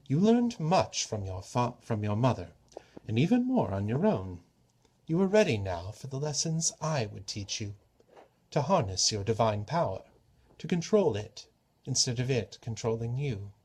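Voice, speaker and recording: A man voicing a roguish, grand trickster god from a storybook, with a formal, measured and persuasive manner. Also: mid-range voice, sing-song, darkish tone, husky. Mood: earnest. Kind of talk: storybook character reading.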